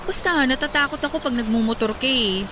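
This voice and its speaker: A woman acting a shy but practical, caring young Filipina fiancée in a radio drama, matter-of-fact and soft-hearted. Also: high voice, a little fast, background noise, close to an everyday voice. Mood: gently worried. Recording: loud music behind the voice.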